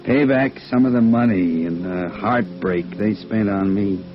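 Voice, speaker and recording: An actor playing a ruined alcoholic violinist, weary and remorseful, halting and dramatic in 1950s radio drama style. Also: male voice, lowish voice, natural voice. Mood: remorseful, broken. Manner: halting, dramatic, self-loathing. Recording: dull vintage radio recording, music behind.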